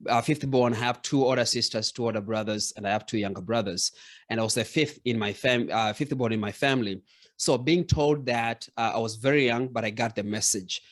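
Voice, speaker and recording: A man's voice: mid-range voice, a little fast. A man from Kenya, hosting a podcast interview and affirming his guest as he shares his own story. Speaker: podcast host.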